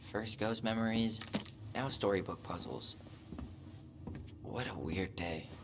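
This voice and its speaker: A man acting in a video game as a guarded, wry young American man, with understated, dry delivery and a gravelly, hushed voice. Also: fairly low pitch, slow. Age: young adult. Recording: faint noise, streamed game audio.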